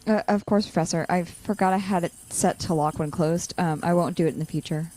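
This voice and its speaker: A woman's voice: lowish voice, fairly quick, dark tone, natural voice, rough recording. A young woman playing an anxious, sardonic college-student supervillain in a naturalistic audio drama. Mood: nervous, polite. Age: young adult.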